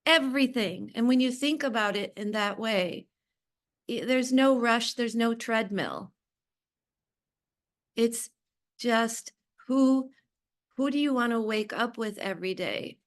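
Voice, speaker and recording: Slow-paced, higher-voiced woman, blunt. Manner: blunt, emphatic, repeats short phrases. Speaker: podcast guest, business owner. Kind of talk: casual reflective interview talk.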